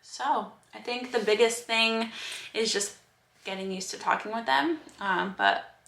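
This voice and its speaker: Bright young woman with a slight lisp. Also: fairly high pitch, unhurried, gravelly. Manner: chatty, rambling with fillers and restarts. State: slight lisp from new aligners. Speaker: fitness vlogger. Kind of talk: casual vlog update. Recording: echoey room.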